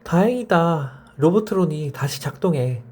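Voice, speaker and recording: A man's voice: a little high, animated, a little slow, a little mellow, husky, voice pushed up. A man voicing a sweet, delighted nine-year-old girl in a children's bedtime story. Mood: relieved.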